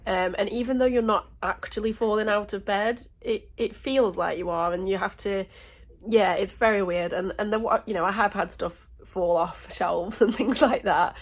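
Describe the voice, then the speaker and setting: Croaky, husky woman vlogger chatting casually. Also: medium pitch. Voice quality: slightly croaky, congested. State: sore throat, stuffy nose. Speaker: travel vlogger. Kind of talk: casual vlog chat.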